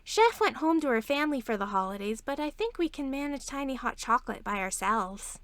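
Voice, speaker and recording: A woman's voice: high voice, lively intonation, brisk, a bit bright, pitched above the performer's own voice. A woman voicing a blunt, socially awkward princess inventor in a storybook reading. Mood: bouncy, eager.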